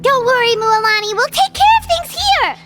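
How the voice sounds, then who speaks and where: A small fairy sidekick from a video game. The voice is extremely high and squeaky, with big swings in pitch and an excitable, childlike worry. Music plays clearly behind it. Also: female voice, normal speed, full character voice. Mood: worried, determined. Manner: speaks of self in third person.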